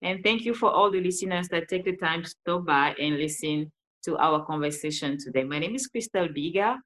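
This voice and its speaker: A woman from Cameroon with a Cameroonian accent, telling her story as an interview guest in an animated way with frequent laughs. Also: fairly low pitch, a little fast. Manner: animated, laughs often, restarts sentences. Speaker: guest, life coach.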